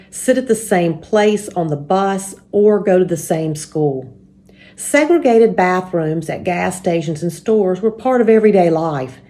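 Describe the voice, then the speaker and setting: A woman leading a church story time, introducing and reading a children's book aloud in a measured, explanatory way. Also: mid-range voice, mellow.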